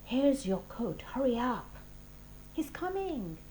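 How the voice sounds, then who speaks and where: Young schoolkid for a storybook, played by a woman: cheeky and excitable, higher pitch with very animated swings. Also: slow pace, slight background noise, heavily acted, rough recording. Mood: frantic, excited.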